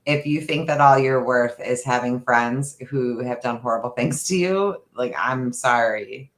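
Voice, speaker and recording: A man's voice: medium pitch, unhurried, a bit bright, roomy sound. A man co-hosting a podcast in casual chat, talking through his thoughts in a rambling, reflective way. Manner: rambling, reflective, talks through ideas aloud. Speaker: podcast co-host.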